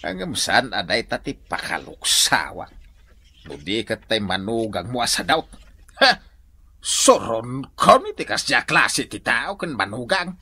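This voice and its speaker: A man plays an exasperated old father-in-law in an Ilocano radio drama. His voice is bright and forceful, leaping wildly in pitch as he grumbles with an Ilocano accent. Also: sing-song, unhurried, slightly rough, heavily acted.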